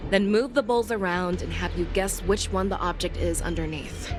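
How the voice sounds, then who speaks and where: A woman playing a shrewd, composed military commander in a video game, speaking with measured authority. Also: on the low side, close to an everyday voice. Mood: composed, resolute. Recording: music under the voice.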